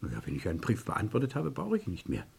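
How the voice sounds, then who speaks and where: An actor playing a weary, evasive man under suspicion in a German radio crime play, hesitant and polite, with a husky voice. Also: lowish voice, huge pitch swings, rapid-fire, darkish tone, exaggerated voice. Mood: guarded, defensive. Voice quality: husky, gravelly. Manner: hesitant, polite, repeats words.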